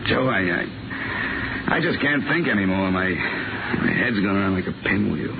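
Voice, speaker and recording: A despondent accused investigator in an old radio drama. His voice is low, with weary, stammering delivery. The recording is thin and full of noise. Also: expressive, normal speed, vocal fry. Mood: distraught. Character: shaken male investigator accused of murder, despondent. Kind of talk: 1950s radio drama acting. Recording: scratchy, noisy, narrow-band 1950 radio recording.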